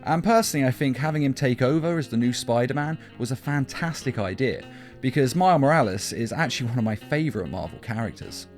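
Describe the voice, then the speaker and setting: Man, mid-pitched, very varied intonation, scripted list-video narration, emphatic fan commentary, backing guitar music. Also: expressive, fast. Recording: music behind the voice.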